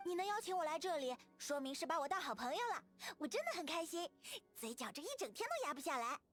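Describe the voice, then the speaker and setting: A woman voicing a cheerful, outgoing teenage girl in a video game, friendly and expressive. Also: high-pitched, quick pace, faint music behind. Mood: cheerful, affectionate. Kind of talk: game character voice demo.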